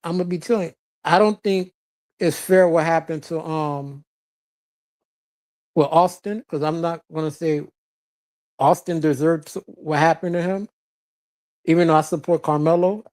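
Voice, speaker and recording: A live-stream host answers viewer comments in loose, unscripted chat. The voice sits in a middle pitch, and the talk is broken up by frequent laughter and casual swearing. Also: steady tone, moderate pace, long pauses, darkish tone. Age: adult with a child, past teens. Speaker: livestreamer reading viewer chat.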